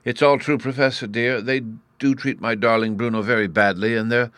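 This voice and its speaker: A man voicing a gentle, earnest young girl in a storybook, a protective big sister with a pleading manner. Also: mid-range voice, fairly even intonation, brisk, dry recording, pitched above the performer's own voice, close to an everyday voice.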